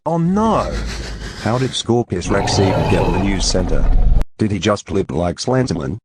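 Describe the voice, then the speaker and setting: Man as male field reporter for a mock news drama, low-pitched, with clear noise on the recording. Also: very animated, moderate pace. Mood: alarmed. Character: male news reporter, alarmed about a monster. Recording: noisy, clicky recording.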